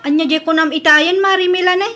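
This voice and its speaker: A woman's voice: very high voice, fairly even intonation, fast, heavy background noise, pitched above the performer's own voice. A woman with an Ilocano accent acting a polite village woman, friend and cake maker, in an audio drama. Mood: friendly, hesitant.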